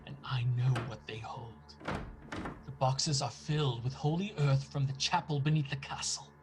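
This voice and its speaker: An actor playing a fearful, desperate young English gentleman in a radio play, with a dramatic delivery. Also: mid-range voice, normal speed, over music, close to an everyday voice. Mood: dread. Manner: dramatic, fearful diary narration. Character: anxious, desperate young English solicitor imprisoned in castle.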